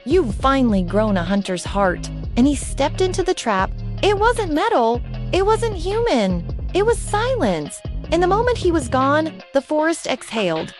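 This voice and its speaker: A woman's voice: very high-pitched, sing-song, normal speed, hardly any pauses, music in the background. A woman reading a scripted storybook tale as a narrator.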